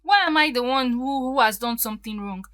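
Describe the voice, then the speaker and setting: A woman's voice: fairly high pitch, a little fast, a bit bright, dry recording, studio quality, natural voice. An actress playing a hurt, defiant stepdaughter in her thirties in an audio drama, emotional and pleading.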